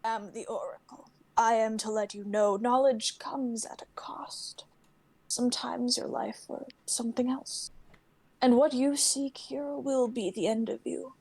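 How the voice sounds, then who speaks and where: Woman playing an ominous, high-voiced oracle. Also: steady tone, a little slow, a little mellow, slightly rough, dry recording. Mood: ominous warning. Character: mysterious ominous female oracle, grave and warning. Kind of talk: dramatic reading of a fantasy script.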